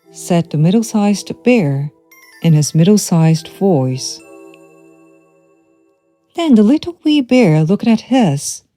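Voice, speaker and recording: A woman reads a children's tale as a storyteller. Her voice is mellow and her delivery is slow and measured, with animated pitch movement. Music plays behind the narration.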